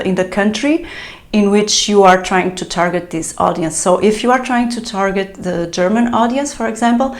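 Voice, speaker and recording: Portuguese-native woman talking to camera about translators, mid pitch, lots of ums and restarts. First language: European Portuguese. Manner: explanatory, with frequent fillers and restarts. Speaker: translator giving business advice. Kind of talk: solo talking-to-camera vlog.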